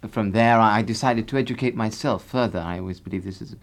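A man's voice: lowish voice, fast, close to an everyday voice. A man playing a pompous singer who rambles on and keeps correcting his partner in a comic radio interview. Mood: grandiose. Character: pompous man, self-styled bass singer. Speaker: half of a singing duo.

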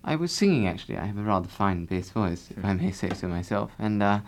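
A man's voice: fairly low pitch, lively intonation, fast talker, a little hiss or hum. A man playing a pompous singer who rambles on and keeps correcting his partner in a comic radio interview. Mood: grandiose. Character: pompous man, self-styled bass singer. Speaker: half of a singing duo.

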